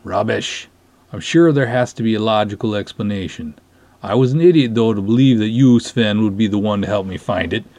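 A man's voice: on the low side, fairly quick, a little mellow, no room sound, close to an everyday voice. A man playing an earnest, pompous canoe cop who speaks in formal, florid, easily flustered phrases in a dramatic story reading. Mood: indignant, puzzled.

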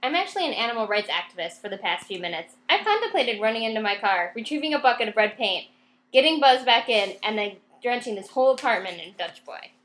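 A woman in comedic podcast banter, wordy and deadpan. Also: fairly high pitch, quick pace, echoey room.

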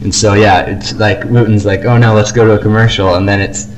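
A man's voice: fairly low pitch, little pitch movement, brisk. A man co-hosting a casual fan podcast, talking about things he likes with effusive enthusiasm. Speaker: podcast co-host. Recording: noisy amateur podcast recording.

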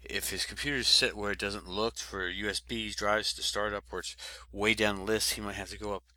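Man, very low voice, forceful bright tone, halting casual podcast talk. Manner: halting, many fillers and restarts. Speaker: podcast co-host. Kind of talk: casual tech podcast chat.

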